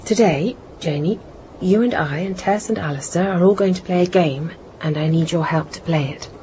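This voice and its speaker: A woman's voice: fairly low pitch, moderate pace, warm tone, a little hiss or hum, natural voice. An actress playing a composed British housewife and mother turned reluctant spy in a radio drama, speaking with control. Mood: patient, firm. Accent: British English.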